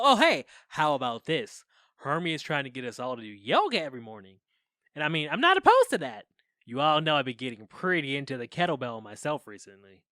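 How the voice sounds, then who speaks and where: A flustered young man confessing into his podcast mic in an audio drama. His bright voice leaps up and down as he rambles and hesitates, awkward and lovelorn. The sound is close and dry. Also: on the high side, no echo, full character voice. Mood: nervous, evasive. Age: young adult.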